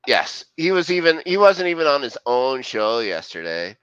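A man's voice: mid-range voice, very animated, normal speed, fairly bright tone, clean tone. A middle-aged man co-hosting a show from home over a headset, joking sarcastically and swearing in casual banter. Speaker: podcast co-host. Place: home room. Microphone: headset on video call. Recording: dull lo-fi dry call audio.

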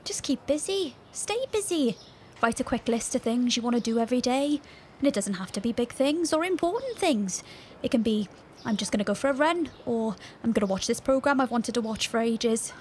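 A woman's voice: on the high side, animated, fairly quick, a little mellow, a little hiss or hum, natural voice. A woman playing a caring, breezy young British friend in an audio drama, chatty and reassuring. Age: young adult. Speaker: actor in a fiction podcast. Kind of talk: naturalistic audio drama dialogue.

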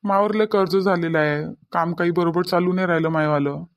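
A troubled adult son in a cartoon, played by a man, speaks in a high male voice with a Varhadi Marathi accent. He sounds homesick, apologising and complaining in a plain family-talk manner. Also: steady tone, brisk. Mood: apologetic, needy. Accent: Varhadi (Vidarbha) Marathi. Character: troubled grown son, homesick debt-ridden city worker. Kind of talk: cartoon family drama dialogue.

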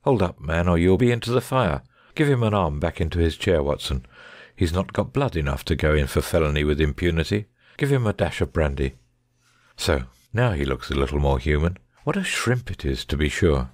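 A man's voice: rumbling low, animated, fairly quick, darkish tone, no room sound, clean studio sound. A man playing a shrewd, commanding, coolly sardonic detective with precise, formal speech in an audiobook dramatic reading. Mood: stern, accusing.

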